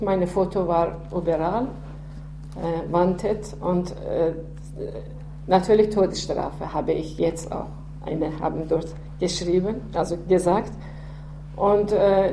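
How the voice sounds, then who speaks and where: A woman in her sixties from Iran, speaking German with an Iranian accent, telling her life story haltingly with many fillers. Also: lowish voice. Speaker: interviewee. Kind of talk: personal testimony. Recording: echoey room with faint hum.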